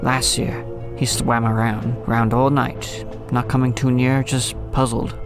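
An actor playing a lonely, brooding older lighthouse keeper in an audio drama, speaking in a wistful, poetic storyteller's way. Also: male voice, mid-range voice, level tone, measured pace, close to an everyday voice. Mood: brooding, hushed. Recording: music under the voice.

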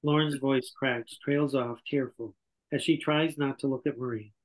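A man's voice: medium pitch, fairly even intonation, normal speed, rough recording. An older man reading scripted stage directions as narrator at a table read over a video call. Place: indoor room on a video call. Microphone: video call microphone.

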